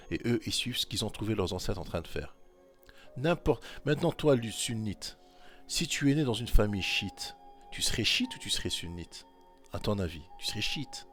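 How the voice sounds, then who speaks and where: A man giving informal commentary on scripture, repeating his points insistently and speaking directly to the listener. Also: fairly low pitch. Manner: insistent, repetitive exhortation; talks straight to the listener. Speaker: religious commentator.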